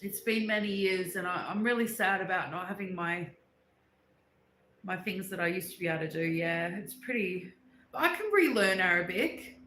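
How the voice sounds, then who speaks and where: A woman's voice: on the low side, moderate pace, fairly bright tone, a little hiss or hum. A woman hosting a casual livestream, rambling through personal stories and answering viewers' comments, with English as her second language. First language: not English. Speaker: livestream host reading chat. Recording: echoey room.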